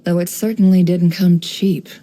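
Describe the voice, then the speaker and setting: An actress playing a shrewd, worldly woman who runs an intelligence business in a video game, speaking in a composed, wry, measured way. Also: on the low side, fairly even intonation, dark tone, natural voice. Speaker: video game character voice actor. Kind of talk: scripted game character voice lines, monologue.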